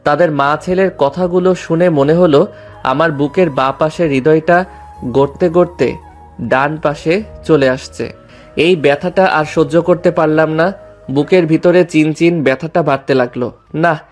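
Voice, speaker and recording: A man's voice: a little high, fairly even intonation, normal speed. A man narrating a dramatized story in the first person. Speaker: story narrator and lead character. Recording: music behind the voice.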